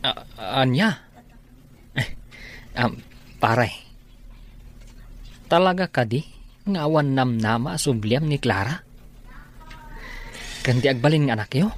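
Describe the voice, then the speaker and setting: A man's voice: mid-range voice, expressive, takes it slowly, long pauses. An actor playing a friendly, concerned male buddy in a radio comedy drama. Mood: concerned, urging.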